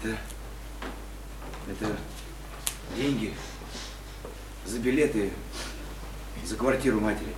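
A man's voice: on the low side, slow, pauses often. An actor playing a drunken, educated man who is scornful, bullying and self-pitying, in a theatrical, declamatory radio play style. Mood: subdued. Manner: contemptuous, declamatory, volatile. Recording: roomy, distant, noisy stage-like radio play recording.

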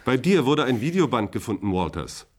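An actor playing an anxious, doubtful deputy spaceship officer, earnest and questioning, in a science-fiction radio drama. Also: male voice, mid-range voice, sing-song, brisk, slightly rough. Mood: urgent.

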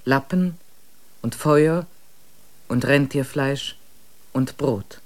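A man narrating a radio play in a measured, solemn storytelling voice. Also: medium pitch.

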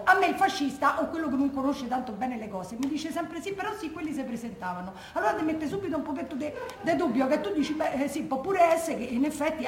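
An actress playing a plain-spoken, argumentative Roman daughter of a massacre victim, in a colloquial stage monologue with a Roman accent. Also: female voice, sits high. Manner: colloquial, insistent, reasoning aloud. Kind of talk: stage monologue from oral testimony. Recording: roomy, echoey stage recording with noise.

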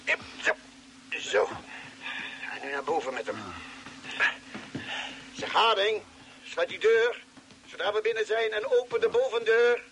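A spaceship doctor in an old radio play. His voice sits a little high for a man, and he speaks with patient, deliberate authority. There is light noise on the recording. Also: fairly bright tone, poor audio. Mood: urgent, commanding. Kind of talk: Dutch radio drama acting.

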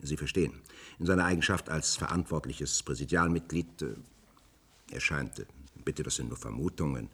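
An actor playing a courteous government official in a radio play, officious, polite and confiding. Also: male voice, rumbling low, moderate pace, fairly bright tone, breathy edge, full character voice. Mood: confidential, hesitant.

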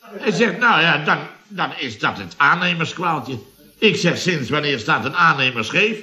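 A man's voice: fairly high pitch, lively intonation, unhurried, bright, forceful tone, heavily acted. An actor playing a blustery, self-pitying middle-aged building contractor in a radio comedy, flustered, stammering and repeating himself as he complains. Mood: indignant.

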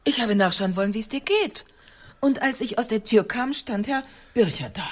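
An actress in a radio play playing a gentle, solicitous woman friend, doubting but shyly hopeful. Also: a little high, normal speed, close to an everyday voice. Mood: explaining.

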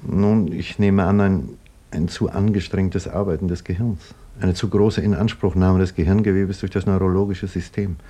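An actor playing a sober male scientist and doctor who narrates his own story in a measured, grave, explanatory manner, 1950s radio drama style. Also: sits low, steady tone, warm tone.

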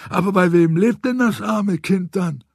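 A man voicing a nosy, mocking gentleman in an audiobook, incredulous, needling and easily affronted. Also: sits high, unhurried, gravelly, slightly rough, no echo, clean studio sound, voice pushed up, full character voice. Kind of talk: audiobook character voice.

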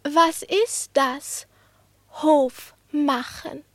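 Tiny naive lapdog, very high voice. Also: female voice, drawn-out words, a bit bright, no room sound, full character voice. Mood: innocent, coy. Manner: innocent, wide-eyed, curious. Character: naive, pampered young female lapdog, coquettish, easily frightened. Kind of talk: German radio play acting.

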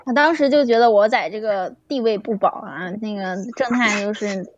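A woman's voice: mid-range voice, normal speed, lo-fi sound. A woman voice actor chatting casually with castmates in a teasing, gushing, encouraging way. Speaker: voice actor in an audio drama. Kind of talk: casual group chat at an audio drama cast event.

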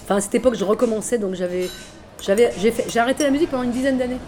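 A middle-aged woman musician chatting casually in an interview, relaxed and full of fillers. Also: on the high side, rapid-fire. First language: French. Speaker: musician guest.

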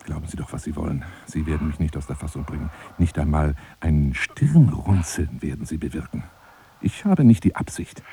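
A deep-voiced, lonely romantic braggart. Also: male voice, vocal fry, noisy background, exaggerated voice. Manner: florid, theatrical, self-dramatizing, shifting into hushed asides. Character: lonely, eccentric romantic braggart wooing a woman by telephone. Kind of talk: dramatic monologue in a radio play.

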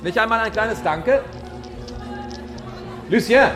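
An actor playing an eager publisher courting an author in a radio drama. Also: male voice, high voice, expressive, takes it slowly. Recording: music behind the voice. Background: music.

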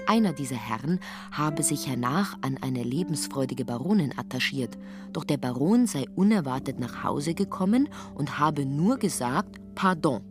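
A woman narrating a literary radio play, telling the story in a wry, matter-of-fact way. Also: deep voice. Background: guitar music under the voice.

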